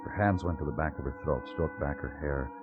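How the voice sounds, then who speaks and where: Noir radio cop: deep, weary, deliberate, muffled vintage recording with music behind. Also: male voice. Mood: brooding. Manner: terse, brooding, deliberate. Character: weary, hard-boiled male New York police detective. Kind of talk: 1950s radio crime acting, poetic noir narration.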